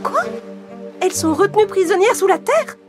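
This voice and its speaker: A woman as a very high-pitched young fairy-tale princess. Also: lively intonation, normal speed, music in the background. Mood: shocked.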